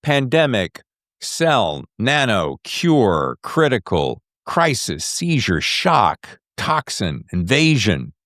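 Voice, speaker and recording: Animated male podcast host, informal and jokey. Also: medium pitch, slow, no echo, clean studio sound. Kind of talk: casual interview chat.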